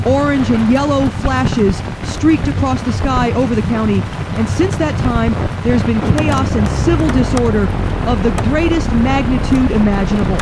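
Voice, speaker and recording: A woman's voice: a little high, close to an everyday voice. A woman playing a distraught field reporter, giving a grave, formally worded live report in a radio horror drama. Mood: grave, bewildered. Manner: grave, formal, florid narration. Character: distraught female field reporter amid horrific mass chaos. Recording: loud outdoor background noise.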